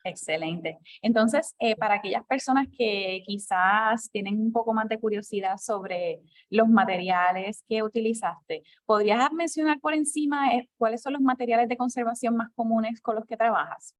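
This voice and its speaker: A woman moderating a question session at an archive, speaking in a measured, formal way. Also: a little high, no room sound. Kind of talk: moderating a lecture Q&A.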